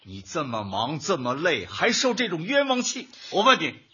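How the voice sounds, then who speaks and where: A man's voice: a little high, huge pitch swings, normal speed, pushed and bright, breathy edge, exaggerated voice. A male actor playing a fussy, pedantic, nagging husband in a comic radio drama, scolding in a bookish way. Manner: scolding, bookish, uses proverbs. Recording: dull lo-fi audio.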